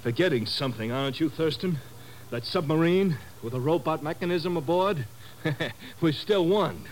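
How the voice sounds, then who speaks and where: A man's voice: a little high, moderate pace, lightly acted. Man acting a ruthless, sardonic radio spy villain. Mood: gloating. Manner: menacing, sardonic. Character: ruthless, gloating male enemy spy ringleader. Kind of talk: 1950s radio drama acting. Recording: slightly noisy 1950s radio recording.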